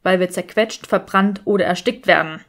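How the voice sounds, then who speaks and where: A woman's voice: medium pitch, moderate pace, natural voice. A woman voicing a sardonic, grumbling young male wizard in an audiobook, dry and emphatic. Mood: urgent warning.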